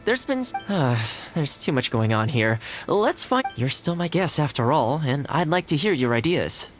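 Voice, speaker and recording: Animated, friendly young housekeeper. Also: male voice, on the high side, moderate pace, soft background music. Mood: friendly, upbeat. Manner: casual, amiable, considerate. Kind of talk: English-dubbed anime game dialogue.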